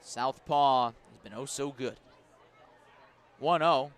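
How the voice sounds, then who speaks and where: A man doing live baseball play-by-play on the radio at an outdoor ballpark, measured between plays and rising with the action. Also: mid-range voice, a faint crowd. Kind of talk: live sports commentary.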